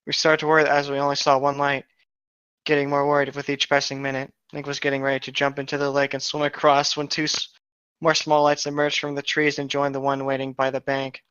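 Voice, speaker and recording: A man's voice: mid-range voice, completely flat delivery, a little fast, fairly bright tone, clear, resonant tone, no echo. A man reading a fan fiction story aloud as narrator, breaking into casual banter, on a slightly dull recording.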